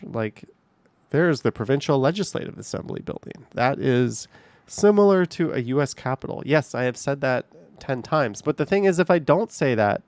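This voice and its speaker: A man's voice: medium pitch, no echo. A man hosting a casual livestream from home, chatting loosely with his viewers in a rambling, easygoing way. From Canada. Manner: rambling, chatty, laughs easily. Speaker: livestream host reading chat.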